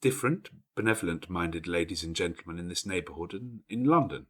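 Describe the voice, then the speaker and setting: A man voicing a studious orphan schoolgirl who explains things in a measured, matter-of-fact way for an audiobook. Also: deep voice, animated, fairly bright tone, husky, heavily acted. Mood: matter-of-fact, patient.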